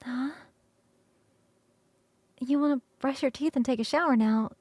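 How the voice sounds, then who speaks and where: A woman voicing a playful, hungry and affectionate succubus roommate in an ASMR roleplay, coaxing and teasing with sighs and soft, breathy turns. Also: high-pitched, dry recording. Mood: eager, playful. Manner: coaxing, sighing, drawn-out savouring, shifting into soft whispers.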